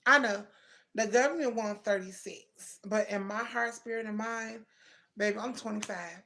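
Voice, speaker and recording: Brief: woman in her thirties, livestream host, gravelly voice, chatty and familiar with her viewers. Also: medium pitch, normal speed, pushed and bright, a little hiss or hum. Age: 30s. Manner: chatty, addresses viewers as baby and twin, answers comments. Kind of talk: casual live chat with viewers.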